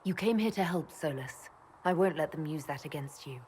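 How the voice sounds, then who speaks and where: Casting: protective, level-headed young elf heroine for a fantasy game. Lowish female voice, calm, soft-spoken, direct, some background noise. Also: moderate pace. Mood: resolute. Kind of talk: video game cutscene dialogue.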